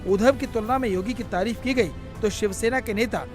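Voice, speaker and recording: A man narrates a scripted news report in a very high-pitched voice. Music plays clearly behind his voice-over throughout. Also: fairly quick.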